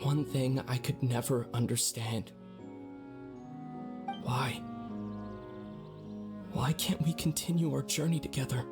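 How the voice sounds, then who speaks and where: A man's voice: medium pitch, slow, pauses often. A man playing an earnest, pleading young traveler and brother in an emotional video game scene. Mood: pained, pleading. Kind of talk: dramatic video game voice acting. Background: game music.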